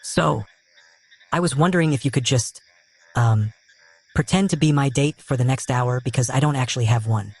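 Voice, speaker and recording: A man's voice: medium pitch, moderate pace, slightly rough. A man playing a cheeky, slightly awkward young wizard who talks informally in a fan audio drama. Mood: awkward pleading. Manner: informal, conversational.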